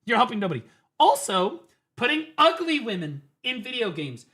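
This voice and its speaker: A man's voice: very high voice, big pitch swings, moderate pace, bright, forceful tone, husky. A man streaming, reacting to clips with emphatic, profane, rambling commentary. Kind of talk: casual ranting commentary.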